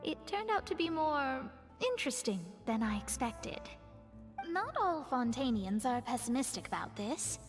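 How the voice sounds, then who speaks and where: An earnest, eloquent young astrologer in an anime game. Her voice sits fairly high and her phrasing is formal and proud. It carries a long reverb over background music. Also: sing-song, normal speed. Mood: composed.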